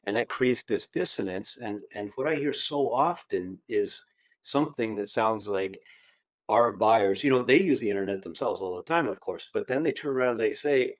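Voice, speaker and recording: A man in his fifties hosting a podcast interview, talking in a wry, animated way and jumping up in pitch when he quotes clients. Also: no room sound. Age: 50s. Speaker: podcast host. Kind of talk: conversational interview.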